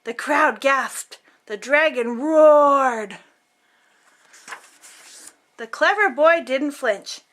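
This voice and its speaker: A woman's voice: high voice, very slow, long pauses, fairly bright tone. A woman reading a children's picture book aloud as host, theatrically, drawing out words for emphasis.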